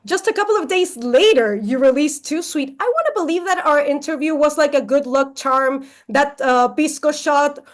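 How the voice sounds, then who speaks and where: High-pitched, chatty woman interviewing. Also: normal speed, hardly any pauses. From Chile. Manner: playful, chatty. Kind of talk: casual interview chat.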